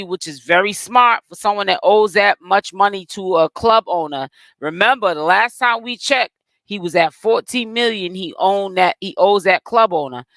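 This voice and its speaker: A man's voice: high voice, a bit bright, dry recording. Boston-accented man in rambling celebrity commentary. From Boston, Massachusetts. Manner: rambling, self-correcting, streetwise slang. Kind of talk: casual commentary to viewers.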